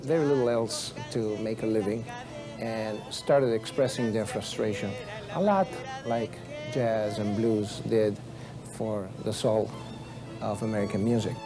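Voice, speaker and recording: Man, concert violinist, interview. Low-pitched and earnest, with music behind. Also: unhurried. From Argentina. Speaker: orchestra violinist. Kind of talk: documentary interview.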